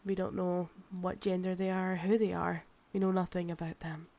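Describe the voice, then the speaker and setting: A woman's voice: on the low side, little pitch movement, dark tone, natural voice. A woman with a Scottish accent playing a possessive, proud, seductive ancient vampire in an intimate ASMR roleplay, teasing and condescending. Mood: calm, firm. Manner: slow, teasing, condescending, calls listener darling.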